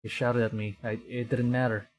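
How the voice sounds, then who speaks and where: Man, game streamer, medium pitch, dramatic read-aloud, horror visual novel narration, live reactions. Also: level tone, normal speed. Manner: acts out the dialogue dramatically.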